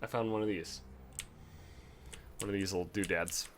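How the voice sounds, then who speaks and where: A man casually commentating his own gameplay, swearing freely and bursting into frustrated yells. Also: deep voice, steady tone, moderate pace, background noise. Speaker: gaming commentator. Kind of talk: casual let's play commentary.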